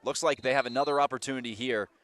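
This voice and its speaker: A male play-by-play announcer, slightly hoarse, running a quick, constant call of a college soccer match. His voice sits in an ordinary middle register and comes through close and dry off a headset mic, rising sharply when the ball goes forward. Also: steady tone, fairly bright tone, no echo. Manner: rapid running call that jumps up in pitch and volume on attacks. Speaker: play-by-play commentator. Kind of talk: live soccer play-by-play commentary.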